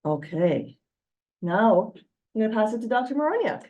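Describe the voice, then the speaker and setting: A woman's voice: on the high side, very animated, normal speed, warm tone. A woman physician with a Canadian accent giving a frank, reassuring slide talk on health. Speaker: physician presenter. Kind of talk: educational slide presentation.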